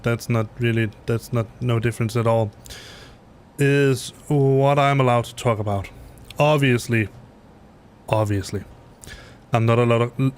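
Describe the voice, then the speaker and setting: A man's voice: mid-range voice, slight background noise. Hesitant Danish man chatting while flying. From Denmark. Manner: rambling, hesitant, self-correcting with many fillers. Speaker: streamer flying a flight simulator. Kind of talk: casual live-stream chat. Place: home basement room.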